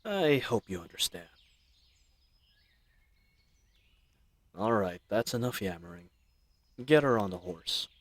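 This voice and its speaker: A man's voice: fairly low pitch, expressive, normal speed, no room sound. A man playing a chatty, roguish bandit leader in an audio roleplay, with folksy slang and teasing mock courtesy. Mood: mocking, breezy. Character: roguish, chatty, friendly male bandit leader, mockingly polite. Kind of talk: scripted audio roleplay addressed to the listener.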